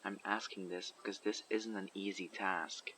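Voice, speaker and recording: A man voicing a guarded survivor leader who recruits people for a community, asking measured, probing questions in an audio roleplay. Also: deep voice, flat delivery, sharp tone, slight background noise. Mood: calm, probing. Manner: measured, probing, matter-of-fact. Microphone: USB condenser microphone.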